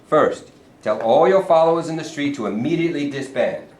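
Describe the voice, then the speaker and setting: A man playing a smooth, condescending governor. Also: on the high side, expressive, brisk, darkish tone, slight background noise, close to an everyday voice. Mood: smug. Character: pompous, scheming middle-aged male state governor. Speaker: actor in a staged reading. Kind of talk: stage play reading. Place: performance hall. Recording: roomy hall from a distant mic.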